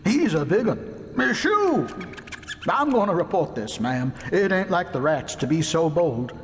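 An actor playing an eager, deferential mall security guard in an audio drama, with a folksy manner. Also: male voice, high-pitched, very animated, a little slow, a little hiss or hum, full character voice. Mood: concerned. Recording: reverberant room, faint music.

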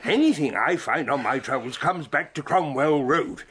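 An actor playing an eccentric, blustering old English gentleman professor in a radio drama, with a grandiose, old-fashioned way of talking. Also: fairly high pitch, expressive, moderate pace. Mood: breezy, dramatic. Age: older. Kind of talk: full-cast radio dramatisation.

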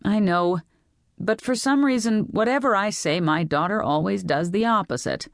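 A woman's voice: mid-range voice, moderate pace, no echo, pitched above the performer's own voice, close to an everyday voice. Audiobook role: strict, anxious mother, read by a woman, exasperated and firm, in a dry recording. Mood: weary. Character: worried, strict mother of two girls. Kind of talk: audiobook character voice.

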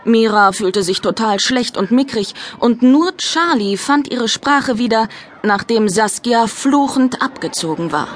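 A woman's voice: a little high, expressive, unhurried. A woman reading scripted narration for a children's audiobook.

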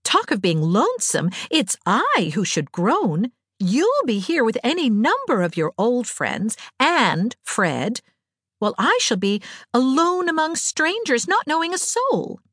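A woman's voice: a little high, huge pitch swings, unhurried, fairly bright tone, slightly rough, no room sound, clean studio sound, voice pushed up, exaggerated voice. A woman voicing a cheerful, fanciful eighteen-year-old girl in a storybook, talkative, wry and whimsical. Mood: mock plaintive. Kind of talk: audiobook character dialogue.

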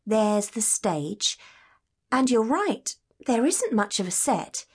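A woman's voice: on the high side, very animated, slow pace, darkish tone, husky, full character voice. A woman playing a curious, observant student in a scripted educational audio drama, speaking in an eager, inquisitive way. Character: curious, observant female student on a guided tour.

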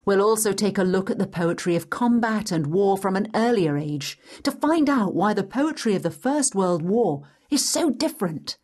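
A woman's voice: mid-range voice, expressive, moderate pace, hardly any pauses, darkish tone, lightly acted. A woman playing a welcoming guide through history, delivering scripted educational narration in an explanatory way. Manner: clear, explanatory.

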